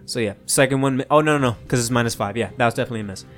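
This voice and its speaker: Male game master, mid-pitched, casual and playful narration, music bed behind. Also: very fast.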